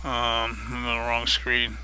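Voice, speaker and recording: A man hosting a live stream of a multiplayer farming game, chatting casually and greeting viewers by name, his voice getting a little hoarse. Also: mid-range voice, completely flat delivery, measured pace, sharp tone, a little hiss or hum. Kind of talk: casual live-stream chat while gaming.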